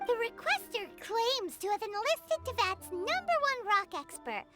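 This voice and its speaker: A woman's voice: extremely high pitch, measured pace, full character voice. A woman voicing a tiny, bossy floating fairy companion who speaks of herself in the third person. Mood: excited. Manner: talks about herself in the third person, pushy and excitable. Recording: game audio with loud music behind.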